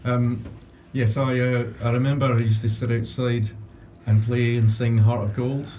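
A man's voice: mid-range voice, flat delivery, moderate pace, dark tone. A man being interviewed as a radio guest, speaking hesitantly and modestly, with a lot of "um"s. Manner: hesitant, modest, laughs easily. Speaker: radio guest and upcoming presenter. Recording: distant mic with faint background noise.

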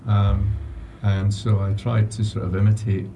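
A male radio guest with a low, very mellow, dark voice. He talks in a hesitant, modest way and laughs easily. He sits a little back from the mic, and a faint hiss hangs behind him. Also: completely flat delivery, unhurried, gravelly. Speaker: radio guest and upcoming presenter. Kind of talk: casual radio interview. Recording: distant mic with faint background noise.

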